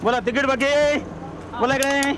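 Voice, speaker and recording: A man playing a brisk rural bus conductor calling for tickets inside a noisy bus. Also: very high voice, level tone. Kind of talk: film drama acting.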